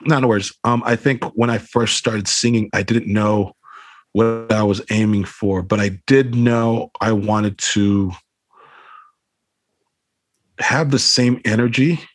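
A man's voice: fairly low pitch, steady tone, slow, dry recording. A man, a band frontman, talking casually in an interview over a video call, rambling with lots of fillers and swearing. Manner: rambling, swears a lot, many fillers like "you know what I mean".

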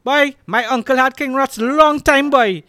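A man voicing a brash stranger with a thick Trinidadian accent who loudly insists he is right. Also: very high voice, measured pace, no room sound, clean studio sound, voice pushed up, exaggerated voice. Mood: indignant. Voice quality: loud, heavy local dialect.

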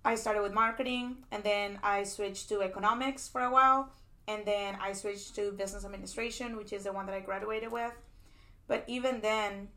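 A woman's voice: medium pitch, fairly even intonation, normal speed, fairly bright tone. A young woman from Peru, a PhD student guest, chatting casually and telling her story with many likes and restarts, recorded at a conference. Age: young adult. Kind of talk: casual interview. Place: conference venue.

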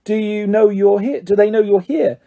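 A man's voice: high-pitched, very animated, fairly quick, breathy edge, narrow, tinny audio, heavily acted. A man voicing a polite, nervous schoolboy wizard with a hesitant manner in a storybook reading. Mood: curious, alarmed. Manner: hesitant, hushed urgency.

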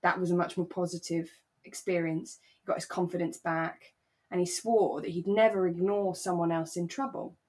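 Low-pitched woman, thoughtful podcast chat. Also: fairly even intonation, moderate pace, a little mellow. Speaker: podcast co-host. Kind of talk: relaxed discussion of a radio drama.

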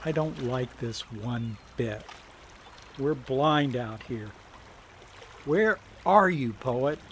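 An actor playing a brisk federal team leader in a 1930s thriller audio drama, speaking in clipped official phrases. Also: male voice, medium pitch, expressive, background noise. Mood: uneasy musing. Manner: military-style clipped orders.

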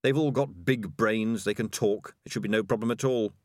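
A man's voice: on the low side, normal speed, a little mellow, no room sound, clean studio sound, natural voice. A man voicing a smug, self-preserving male cat in a wry inner monologue from a storybook.

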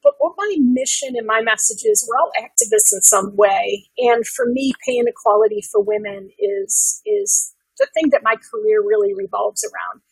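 A woman with a very husky, rough voice that often drops into fry, recorded close and dry. She speaks as an interview guest, earnest and conversational, working out her thoughts aloud. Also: a little high, expressive, normal speed, hardly any pauses, dry recording, poor audio. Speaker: podcast guest, women's business advocate.

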